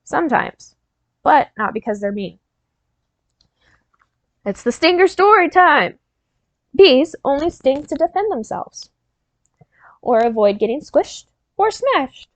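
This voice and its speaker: High-voiced woman reading aloud to children. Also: very animated, no room sound. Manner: encouraging, instructional, pausing to prompt and praise listeners. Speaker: teacher reading a picture book aloud to children. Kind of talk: interactive read-aloud, storytelling with questions to young listeners.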